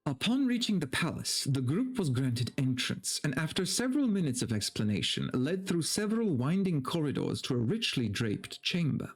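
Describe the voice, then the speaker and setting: A man's voice: a little high, sing-song, moderate pace, hardly any pauses, husky, soft background music. A man doing a dramatic story reading for a stream, mock-serious and breaking into incredulous, sweary commentary.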